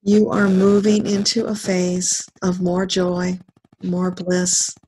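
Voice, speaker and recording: A woman leading a guided spiritual meditation as a teacher, speaking solemnly in short, deliberate phrases with pauses. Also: lowish voice, completely flat delivery, mellow, a little hiss or hum, rough recording.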